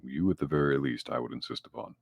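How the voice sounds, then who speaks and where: Male player, extremely low creaky voice, wary and firm, tabletop roleplay. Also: fast talker, no echo. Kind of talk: in-character roleplay talk.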